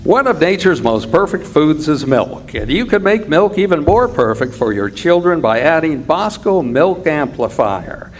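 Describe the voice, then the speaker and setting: Lively male old-time radio announcer. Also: fairly high pitch. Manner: promotional, measured delivery. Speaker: commercial announcer. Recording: live stage with faint noise.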